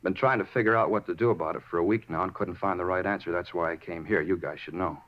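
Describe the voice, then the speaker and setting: Nervous young radio-drama character, male actor: deep, quick, hesitant and evasive, repeats himself, on a lo-fi vintage broadcast. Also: steady tone, natural voice. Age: young adult. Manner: hesitant, repetitive, guarded. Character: nervous, evasive young man confessing to police. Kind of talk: 1950s radio drama acting. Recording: dull 1950s radio recording.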